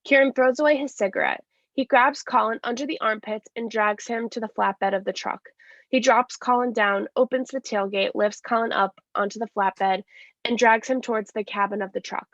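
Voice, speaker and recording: A woman's voice: a little high, normal speed, fairly bright tone, dry recording. A woman reading scripted stage directions as narration, plainly and step by step, with slight stumbles. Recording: dry, slightly dull lo-fi sound.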